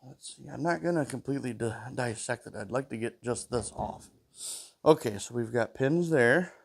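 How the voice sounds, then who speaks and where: A man's voice: mid-range voice, normal speed, creaky. A man with a stuffy nose talking to himself while he takes apart an old mower in his workshop, humming between tasks. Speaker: small engine repair vlogger. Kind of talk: casual talk while working.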